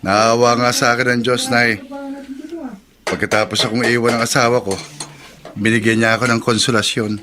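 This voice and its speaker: A man's voice: mid-range voice, level tone, normal speed, pauses often, noisy background, close to an everyday voice. An actor playing an agreeable, hardworking Filipino family man in a radio drama, plain-spoken and earnest.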